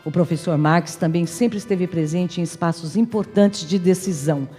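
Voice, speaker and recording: A Brazilian woman reading a formal prepared tribute speech in a measured, enumerating manner, as an academic addressing a conference. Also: on the low side, mellow. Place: large hall at an academic conference. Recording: reverberant hall, music in the background.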